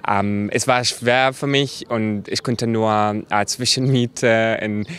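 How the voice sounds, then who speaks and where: Slow low-voiced young man, halting. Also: fairly even intonation, fairly bright tone. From Palestine. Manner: halting with many fillers.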